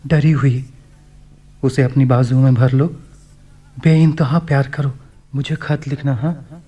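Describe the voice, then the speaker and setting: An actor playing a sincere young man in a radio play, tenderly voicing his own letter in an earnest, measured way. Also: medium pitch, warm tone, lightly acted.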